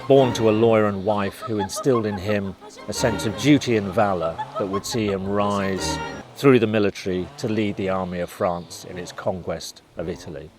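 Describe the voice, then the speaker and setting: A man's voice: fairly low pitch, normal speed, over music. A man reading scripted narration for a historical documentary.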